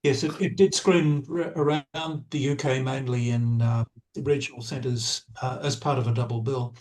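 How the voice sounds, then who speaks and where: An older man speaking in a measured, slightly hesitant way, giving information in an online panel discussion. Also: medium pitch, fairly even intonation, a little mellow.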